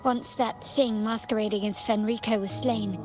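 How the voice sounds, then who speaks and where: A woman's voice: a little high, fairly even intonation, normal speed, natural voice. A woman playing a proud, bold noblewoman fighter in a video game, speaking with assurance. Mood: proud, relieved. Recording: loud music behind the voice.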